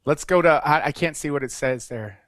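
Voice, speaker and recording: A man hosting an online webinar, pitching an offer in an upbeat, salesy way as he lists what people get. His voice is a touch high, and the sound is dry and close. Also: fairly quick, a bit bright, no echo. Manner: upbeat, salesy, runs through offer details. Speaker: webinar host.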